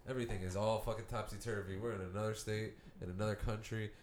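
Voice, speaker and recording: A man's voice: lowish voice, steady tone, fast talker, a little mellow, a little hiss or hum. A man hosting a casual podcast among friends, introducing the show in a laid-back way. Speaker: podcast host. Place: apartment living room.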